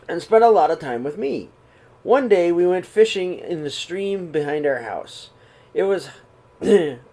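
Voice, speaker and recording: A middle-aged man reading a children's picture book aloud in his living room, then talking warmly to young listeners, with a gentle, unhurried pace and the odd throat clear. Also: on the high side. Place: living room, seated on a sofa before curtains. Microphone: webcam or laptop camera at close range.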